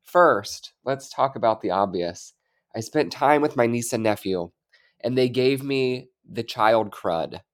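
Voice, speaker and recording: A man's voice: medium pitch, lively intonation, a little slow. Congested, chatty man co-hosting a podcast. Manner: chatty, upbeat, rambling. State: congested, stuffy-nosed. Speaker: podcast co-host. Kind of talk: casual chat.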